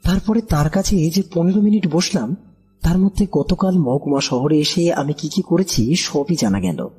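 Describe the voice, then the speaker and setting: An actor playing a bewildered village trader in an audio horror drama, speaking in an anxious, hesitant, pleading way with a husky, breathy voice. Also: male voice, fairly high pitch, moderate pace, dark tone. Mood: uneasy recounting. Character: frightened, bewildered village trader recounting his strange ordeal. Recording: background music under the voice.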